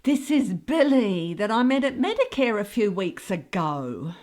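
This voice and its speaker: A woman's voice: mid-range voice, sing-song, a little slow, a little mellow. Woman playing a swooping, melodramatic soap-opera daughter. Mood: exasperated. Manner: gushing, theatrical. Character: excitable middle-aged bride-to-be daughter in a radio soap, melodramatic. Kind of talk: comic radio soap acting.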